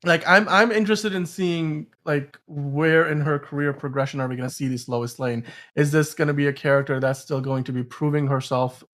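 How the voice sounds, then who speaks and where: A man's voice: a little high, fairly even intonation, moderate pace, few pauses, fairly bright tone. A man co-hosting a podcast, talking about film and TV in a casual, enthusiastic, rambling way. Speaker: podcast co-host.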